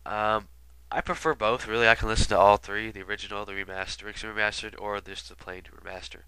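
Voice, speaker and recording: A man's voice: lowish voice, level tone, quick pace, bright, forceful tone, clear, resonant tone, no room sound, low-quality recording. A man with a stuffy nose from being sick, commenting casually and rambling with lots of fillers. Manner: rambling, many fillers and asides. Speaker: video commentator. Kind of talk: casual album retrospective commentary.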